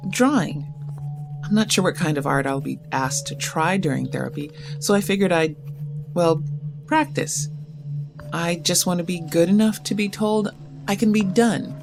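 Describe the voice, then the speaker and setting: A withdrawn young poet who restarts sentences and keeps herself closed off. Her voice sits low and unsure. A clearly audible score plays under the scene. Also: music in the background. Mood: guarded. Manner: hesitant, halting, self-conscious. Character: shy, guarded young poet at therapy. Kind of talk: audio drama acting.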